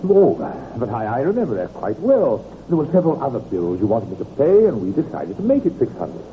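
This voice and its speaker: An actor playing a glib, bullying swindler and master of the house, in an old radio drama. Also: male voice, mid-range voice, wildly swooping pitch, brisk. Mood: breezy, evasive. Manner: glib, falsely breezy, cajoling. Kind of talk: 1950s radio drama acting. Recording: tinny, noisy old radio recording.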